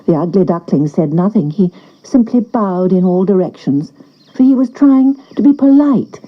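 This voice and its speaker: A woman narrating a scripted children's story in an expressive storyteller's style. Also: medium pitch, normal speed, highs cut off.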